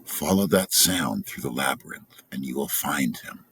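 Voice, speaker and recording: Guy reading as a gentle hushed storybook princess, really low voice, dry sound. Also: animated, moderate pace, husky, no echo, exaggerated voice. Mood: guiding. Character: gentle young princess, secretly helpful.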